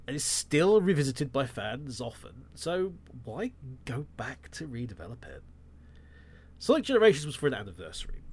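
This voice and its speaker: A man's voice: fairly high pitch, slightly rough, no echo. A man hosting a solo podcast, sounding nasal and congested from a cold, talking with sarcastic, wry asides. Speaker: podcast host.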